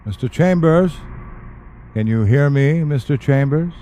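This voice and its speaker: An actor in an audio play as a calm, wry government specialist, speaking in a measured, matter-of-fact and dryly polite way. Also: male voice, on the high side, a little hiss or hum, close to an everyday voice. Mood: polite, businesslike. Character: calm, wry male government specialist who questions the dead. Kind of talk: audio drama acting.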